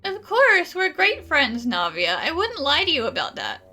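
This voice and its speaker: An actress playing a perky, loyal young female friend in a video game, lively and frank. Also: high voice, moderate pace, heavily acted. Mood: supportive, frank. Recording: soft music behind the voice.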